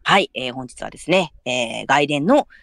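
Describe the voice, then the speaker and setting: Low-pitched, lively woman hosting a stream. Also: moderate pace, creaky, no room sound, studio quality. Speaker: streamer hosting an audio drama broadcast. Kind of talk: polite live-stream hosting chat.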